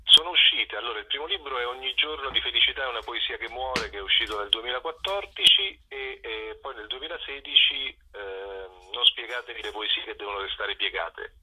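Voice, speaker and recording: A man's voice: medium pitch, animated, fairly quick, few pauses, sharp tone. A man being interviewed on radio over a phone line, talking reflectively and hesitantly as he thinks aloud. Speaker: poet and singer-songwriter guest.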